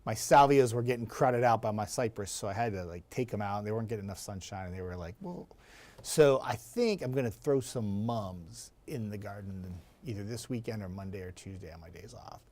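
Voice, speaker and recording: Chatty raspy-voiced male meteorologist. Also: lowish voice, a little fast, a little mellow. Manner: chatty, rambling, laughs easily. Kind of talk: casual chat to viewers.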